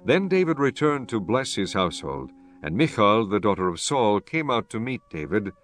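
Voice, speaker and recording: A man narrating scripture from a script in a formal, measured manner. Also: fairly low pitch, sing-song, fairly bright tone, soft background music.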